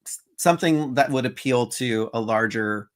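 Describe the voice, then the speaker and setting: A man's voice: mid-range voice, moderate pace. Laid-back guy cohost, chatty, medium voice, laughs along. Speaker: co-host of a toy news show. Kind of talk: casual chat.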